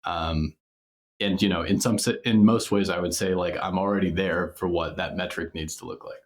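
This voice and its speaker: Very deep-voiced man, casual and reflective. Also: steady tone, moderate pace. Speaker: audio drama creator, interview guest. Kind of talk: casual interview chat.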